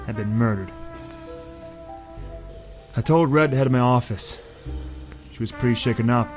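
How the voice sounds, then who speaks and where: A man plays a hard-boiled detective in a noir radio drama, gruff and protective. His mid-pitched voice sits over music. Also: close to an everyday voice. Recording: music under the voice.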